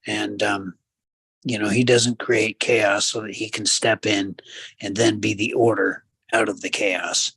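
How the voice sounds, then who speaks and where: A man co-hosting a casual talk show, giving measured commentary with preacherly asides to the listeners. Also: on the low side, little pitch movement, vocal fry, a bit bright, breathy edge, no room sound. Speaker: radio show co-host.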